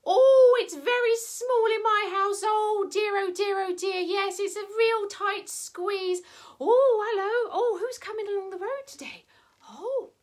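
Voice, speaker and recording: A woman playing a grumbling, fretful little old lady in a storybook, complaining in drawn-out exclamations. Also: very high voice, pitched above the performer's own voice.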